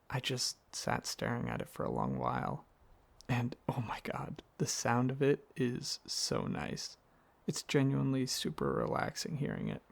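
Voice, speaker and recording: A man playing a gentle, affectionate dragon boyfriend in an intimate ASMR roleplay, speaking softly and earnestly with some hesitant rambling. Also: mid-range voice. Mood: wistful, serene. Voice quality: soft, husky, slightly gravelly, breathy.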